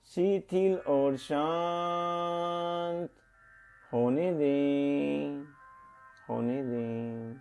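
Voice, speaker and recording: A man leading a scripted guided meditation in a soothing, deliberate manner, with pauses and gentle repetition. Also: on the high side, steady tone. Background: meditation music.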